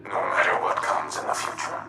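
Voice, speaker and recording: An actor playing an ancient male warmind AI, speaking in a measured, formal, grave way with a gravelly voice. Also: very deep voice, fairly even intonation, very noisy. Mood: remorseful. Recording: game audio in a reverberant space with loud music.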